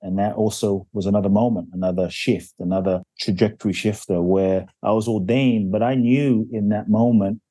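A man with a very low, dark and mellow voice that is slightly husky. He tells his life story in an earnest, reflective way as an interview guest, and the sound is close and dry. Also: steady tone, moderate pace, hardly any pauses, no room sound. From Ghana, raised in London. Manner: earnest and reflective, builds stories with repetition. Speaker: guest, spiritual teacher and author. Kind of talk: personal storytelling in a podcast interview.

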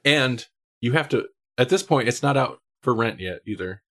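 A man hosts a podcast in a medium-pitched voice. He talks casually about film in a loose, conversational style. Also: expressive, moderate pace. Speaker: podcast host.

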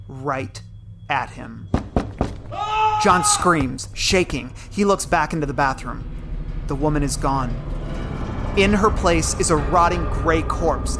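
Narrator for audio drama, mid-range voice, scripted delivery, music underneath. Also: mid-range voice.

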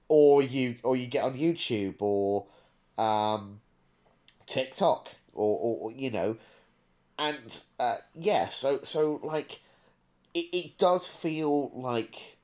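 A man's voice: mid-range voice, darkish tone. A man hosting a podcast in casual review chat, thinking aloud in a discursive way. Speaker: podcast host.

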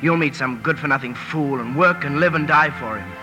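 A man's voice: on the high side, brisk, music in the background, close to an everyday voice. An actor playing an ardent, impetuous young man in love, emphatic and pleading, in a 1950s radio drama. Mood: bitter, heated.

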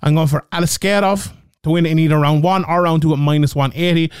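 A man's voice: a little high, fairly even intonation, fast, clean tone, no room sound, clean studio sound. An Irish man hosting a casual betting show, thinking aloud and checking the odds as he talks. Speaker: host. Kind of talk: casual betting picks talk.

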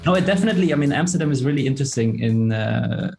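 Hesitant man, mellow voice. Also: mid-range voice, lively intonation, fast, gravelly, soft background music. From Germany. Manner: hesitant, many fillers and restarts. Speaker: guest, tech executive. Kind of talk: casual podcast interview.

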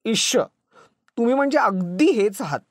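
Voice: medium pitch, animated, a little fast, darkish tone, no room sound, studio quality, lightly acted. A narrator voicing a touchy, dramatic young village wife who talks in an emotional, scolding, plaintive way. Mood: amused, teasing.

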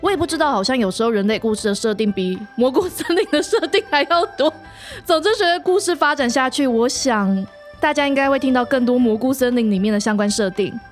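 A woman with a Taiwanese Mandarin accent talks in a high-pitched voice. She chats casually as a host and author, explaining things playfully, with soft music underneath. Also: expressive, a little fast. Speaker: podcast host and story author. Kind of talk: casual chat.

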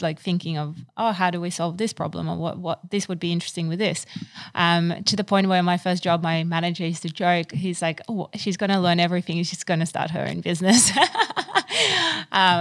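A woman's voice: fairly low pitch, no echo. A woman in her thirties from Ukraine, a business owner answering interview questions in a chatty way with many fillers and restarts.

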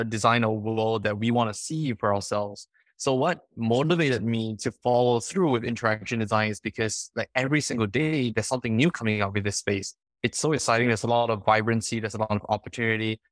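A young man from Singapore chats eagerly about his design studies, with plenty of fillers. His voice sits a little low. The recording is dry and close. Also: fairly bright tone, dry recording. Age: young adult. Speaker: design student guest. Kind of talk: casual podcast chat.

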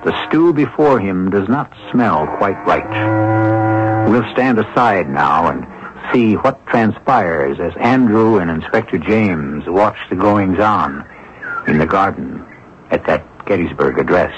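An actor as a knowing, wry storyteller giving scripted narration in a 1970s radio mystery drama. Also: male voice, on the low side, expressive, slow pace, a little hiss or hum. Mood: wry, suspenseful. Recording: dull old radio recording.

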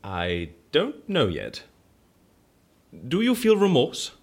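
Eccentric case handler, audio drama. Husky, swooping delivery, quaint turns of phrase, drily businesslike. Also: male voice, on the high side, wildly swooping pitch, slow, full character voice. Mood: curious, businesslike. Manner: quaint colourful exclamations, dry businesslike asides. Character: quirky male case handler at a disappearance agency.

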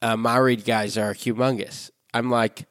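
A man's voice: medium pitch, level tone, measured pace, a bit bright, clean tone. Man chatting with many fillers. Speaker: podcast co-host. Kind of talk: casual chat. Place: hotel room abroad. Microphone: remote call from a hotel room.

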